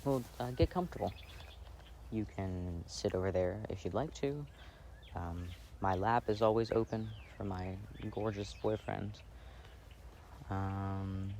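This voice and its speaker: A man playing a gentle, insecure boyfriend in an intimate ASMR roleplay, speaking softly and hesitantly. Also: fairly low pitch, close to an everyday voice. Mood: affectionate. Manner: hesitant, halting, soft-spoken. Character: gentle, insecure, affectionate plant-loving boyfriend reading outdoors. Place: outdoors, sitting on grass. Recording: faint outdoor noise, birdsong. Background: faint birds and outdoor ambience.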